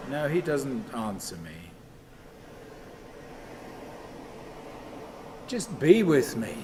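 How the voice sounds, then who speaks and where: An actor playing a haunted, restless man in a radio play monologue, halting and repetitive, moving between muttering and command. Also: on the high side. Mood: brooding, haunted. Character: haunted, rambling man talking to his dead father, brooding and restless. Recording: clearly noisy, steady ambient sound.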